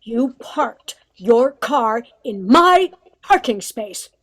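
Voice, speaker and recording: A mean, scolding small-town busybody played by a woman in a noir radio comedy. The voice is high and indignant, with slow, deliberate phrasing. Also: big pitch swings, fairly bright tone, exaggerated voice.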